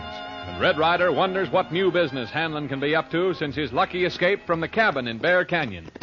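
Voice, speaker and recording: A man's voice: a little high, close to an everyday voice. A man delivering scripted narration as the narrator of a 1940s radio western. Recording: muffled old radio recording with music.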